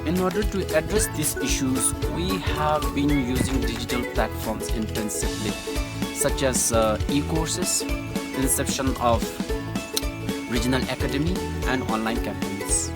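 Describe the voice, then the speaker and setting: Presenter, medium pitch, scripted delivery, prominent music bed. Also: unhurried. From Bhutan. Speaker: youth organisation representative.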